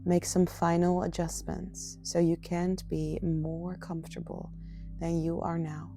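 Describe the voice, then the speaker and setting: Woman, meditation guide, soft and soothing, very even low-toned delivery, music behind. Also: unhurried. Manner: soft, soothing, gentle, often breathy. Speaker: yoga nidra guide.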